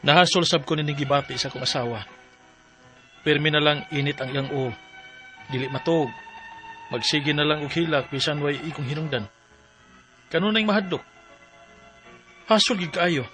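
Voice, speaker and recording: A man's voice: fairly high pitch, normal speed, pauses often, a bit bright, faint music behind, natural voice. A man acting a worried, weary husband in a radio drama, earnest and troubled. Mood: troubled, confiding. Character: worried, weary working husband pleading for his wife.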